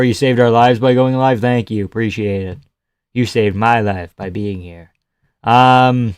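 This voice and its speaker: A man's voice: mid-range voice, smooth, dry recording, studio quality. A young man hosting a live stream, chatting casually and sarcastically while reading viewer comments. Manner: sarcastic, offhand, swears and laughs often.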